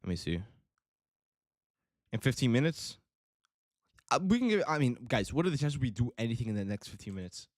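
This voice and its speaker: A man's voice: medium pitch, very animated, brisk, pauses often, no room sound, studio quality. A young man with a Miami accent, born in Italy with Italian as his first language, rambling casually to his livestream chat and saying "bro" a lot. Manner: rambling, filler-heavy, says bro a lot. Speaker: day trading livestream host. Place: home trading setup in Miami.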